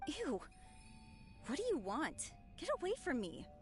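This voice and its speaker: High-voiced, fickle young lady. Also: sing-song, unhurried, faint music behind. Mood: disgusted. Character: fickle young woman, disgusted or bashful. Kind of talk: animated game voice acting.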